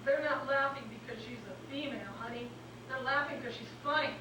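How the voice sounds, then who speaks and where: A woman's voice: high voice, steady tone, fairly quick, lightly acted. A woman in a staged reading playing a no-nonsense, professional club comic who corrects others frankly. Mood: firm. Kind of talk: staged reading of a comedy script. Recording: echoey room, distant mic, clear noise.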